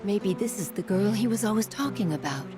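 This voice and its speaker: A woman voicing a kindly, hopeful middle-aged mother in a video game, speaking gently and with concern. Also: medium pitch, animated, a little fast, over music, lightly acted. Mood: wistful, apologetic. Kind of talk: English-language video game voice acting.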